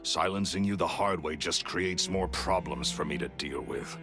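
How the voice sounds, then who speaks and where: An actor playing a bitter, menacing magician villain in a video game, sneering and theatrical. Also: male voice, low voice, moderate pace, creaky. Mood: cold menace. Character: bitter, menacing male villain, resentful magician turned murderer. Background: background music.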